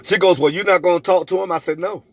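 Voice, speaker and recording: A man's voice: high-pitched, steady tone, quick pace. A middle-aged man vlogging a personal story in an emphatic, preachy way, repeating phrases for effect and acting out both sides of conversations. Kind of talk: animated storytelling to camera, re-enacting arguments.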